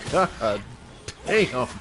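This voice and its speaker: A man's voice: medium pitch, big pitch swings, extremely slow, noisy background. A man streaming a game live with casual, sweary commentary in short reactions. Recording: game music behind the voice. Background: game music.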